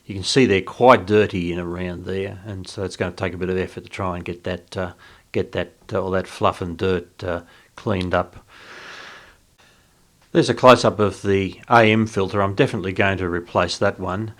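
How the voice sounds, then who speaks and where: A man giving an informal, unscripted hands-on walkthrough as a hobbyist host, speaking haltingly as he thinks aloud. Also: low voice, steady tone, fairly bright tone. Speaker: video host, radio hobbyist.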